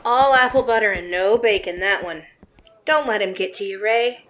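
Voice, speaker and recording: An actress playing a sweet, sympathetic 1950s barmaid in a radio drama, familiar and a little sassy. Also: female voice, medium pitch, moderate pace, a bit bright, lightly acted.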